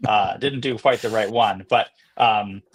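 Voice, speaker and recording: Guy on a podcast doing a casual product demo, voice a bit on the low side. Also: a little slow, poor audio. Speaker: podcast guest, company co-founder and CEO. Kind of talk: casual live software demo, conversational explaining.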